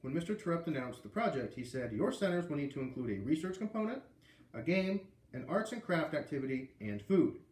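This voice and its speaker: A man's voice: on the high side, sing-song, brisk, a little mellow, roomy sound, low-quality recording. A man with an American accent reading a children's book aloud, with casual asides. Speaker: read-aloud reader.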